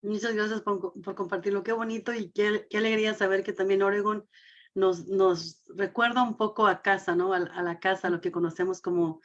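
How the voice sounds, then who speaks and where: A woman's voice: medium pitch, steady tone, brisk. Dull-sounding recording of a woman radio host. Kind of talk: community radio interview hosting. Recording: dull, band-limited sound.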